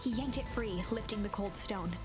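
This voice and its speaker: A woman's voice: medium pitch, normal speed, close to an everyday voice. A woman narrating a mystery adventure game in a scripted storybook style. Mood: measured, suspenseful. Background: game music.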